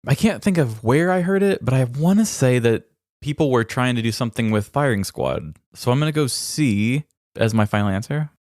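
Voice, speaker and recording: A man's voice: mid-range voice, lively intonation, moderate pace, darkish tone, dry recording, studio quality. A man co-hosting a podcast, chatting casually with a wry, joking manner. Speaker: podcast co-host. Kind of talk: casual banter.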